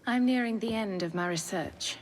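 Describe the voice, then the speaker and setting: An actress playing a cold, vengeful Victorian villainess in a video game, measured and ominous. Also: female voice, mid-range voice, a little hiss or hum, close to an everyday voice. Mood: cold threat.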